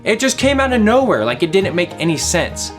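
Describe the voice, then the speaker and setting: A man's voice: high-pitched, a little fast, music in the background. A young man in his twenties from Louisiana with a Southern accent, teaching a playful kids' object lesson with lots of questions. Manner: animated, playful, asks questions. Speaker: kids ministry teacher.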